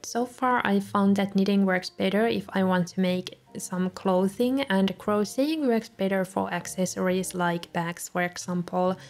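A young woman, a native Finnish speaker, calmly narrating a voice-over for her video with soft laughs between remarks. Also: medium pitch. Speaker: journaling video creator. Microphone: voice-over recorded separately.

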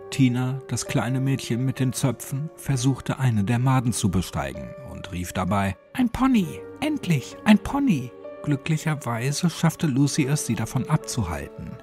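A man's voice: medium pitch, animated, moderate pace, few pauses, darkish tone. A man reading a scripted horror story as an audiobook narrator. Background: music.

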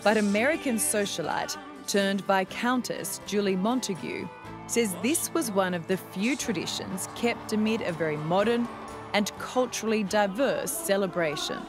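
An Australian woman delivers scripted narration for a television news feature. She speaks as the reporter at a middling pitch, with music clearly running behind her voice. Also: moderate pace.

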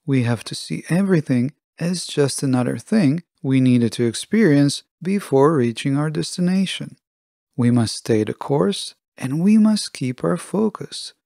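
A man hosting a podcast alone, reading scripted narration in a measured, deliberate manner. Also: on the high side, creaky, mellow, dry recording, studio quality. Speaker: podcast host.

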